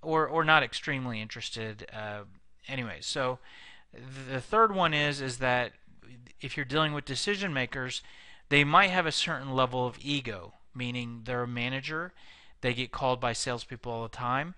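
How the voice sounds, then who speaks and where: Male sales trainer, conversational lecture delivery, crisp, dry close recording. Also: medium pitch, moderate pace, pushed and bright, dry recording. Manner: conversational, frequent fillers and restarts. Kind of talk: instructional video lecture.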